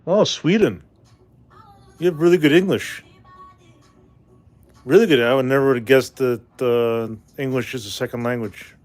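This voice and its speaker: A man's voice: medium pitch, moderate pace, long pauses, a little mellow, soft background music. Man, forties, from Canada, casual livestream host, rambling, blunt, reads comments aloud. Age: 40s.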